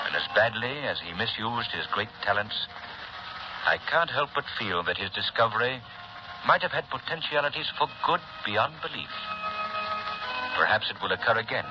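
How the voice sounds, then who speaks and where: Heard through the noise of an old radio recording is an actor as a composed gentleman sleuth. His lowish voice is measured, articulate and grave, in classic 1930s radio style. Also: lively intonation, dull audio, lightly acted. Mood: thoughtful.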